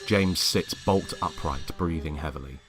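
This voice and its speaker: A man narrating a scripted story in a measured, dramatic way. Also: very deep voice, fairly bright tone, faint music behind.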